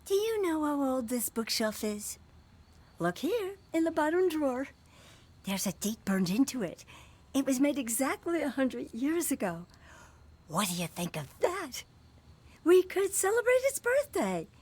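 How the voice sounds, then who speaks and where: A woman's voice: sits high, sing-song, a little slow, darkish tone. An actress playing an eccentric, sentimental middle-aged aristocratic woman in a visual novel, with a dreamy, theatrical manner. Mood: whimsical, fond.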